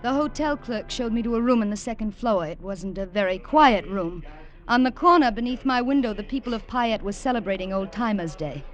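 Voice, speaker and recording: A woman's voice: a little high, animated, brisk, muffled recording, over music, lightly acted. An actress playing a young woman on the run who narrates her ordeal in a 1950s radio drama, earnest and stammering under tension. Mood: tense narration. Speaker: narrator and heroine. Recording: hissy old radio recording.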